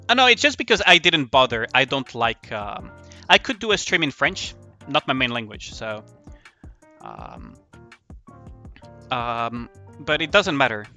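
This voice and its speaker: Male live streamer, sharp bright voice, mid pitch, casual rambling chat, music in the background. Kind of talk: casual livestream chat over a game. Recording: music behind the voice.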